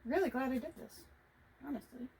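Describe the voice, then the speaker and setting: A woman vlogger talking casually to herself as she paints, reflective, halting and encouraging, with a naturally quiet voice that she tries to project. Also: high-pitched, a little hiss or hum. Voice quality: normally quiet voice, making an effort to project. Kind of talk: casual self-talk while painting. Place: hot room indoors.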